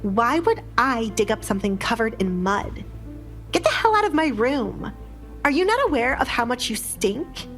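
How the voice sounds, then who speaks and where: Woman acting a spoiled, shrill young noblewoman. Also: very animated, moderate pace, fairly bright tone, soft background music. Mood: indignant. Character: spoiled, hateful, vain young noblewoman, easily disgusted.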